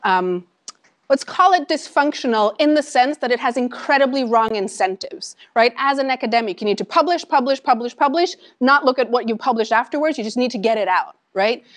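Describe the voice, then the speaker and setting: A woman in her thirties from Norway with a Norwegian accent, giving a conversational talk to an audience about her startup, animated and full of ums and asides. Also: on the high side, fairly quick. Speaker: startup founder giving a lecture. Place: lecture room with an audience.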